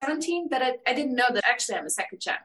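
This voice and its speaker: Bright-voiced Austrian woman, late twenties, chatty and giggly, sitting back from her mic. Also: sits high, lively intonation, roomy sound, distant mic, rough recording. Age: 20s. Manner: laughs often, halting with many fillers. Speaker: interviewee. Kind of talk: casual video-call chat.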